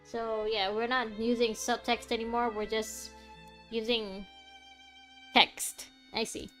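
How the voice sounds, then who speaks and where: A woman's voice: fairly high pitch, flat delivery, slow pace, a bit bright, faint music behind, low-quality recording. A woman streaming a game with casual, sarcastic commentary, talking back to the characters.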